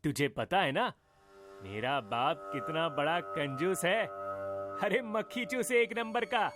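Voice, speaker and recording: A man playing a cocky, gossipy young college friend in an animated story. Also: fairly high pitch, slow, music in the background. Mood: teasing, persuasive.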